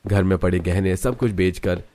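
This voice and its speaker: A man's voice: deep voice, moderate pace, dark tone, no room sound. A man reading scripted story narration with music playing behind him. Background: background music.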